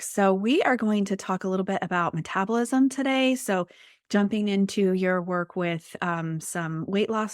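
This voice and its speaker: Croaky-voiced woman podcast host. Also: lowish voice, dry recording, studio quality. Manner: chatty, enthusiastic, with many fillers. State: slightly croaky from a chest cold. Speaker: podcast host, health and weight loss coach. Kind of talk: podcast interview.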